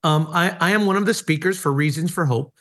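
A man's voice: a little high, brisk, fairly bright tone. A middle-aged man from Hawaii, a former pastor, telling his story earnestly with frequent hesitations. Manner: earnest, many hesitations and fillers. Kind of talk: personal testimony in interview.

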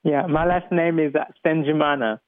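A man's voice: fairly high pitch, fairly even intonation, normal speed, no room sound. Rwandan man on a thin phone-like line. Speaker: interview guest. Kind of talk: personal testimony in an interview.

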